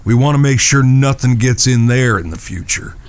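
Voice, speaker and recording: A man's voice: medium pitch, normal speed, background noise, close to an everyday voice. A man playing an earnest, protective Old West cowhand with a folksy, polite manner and a Southern American accent in a Western audio drama. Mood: practical, courteous.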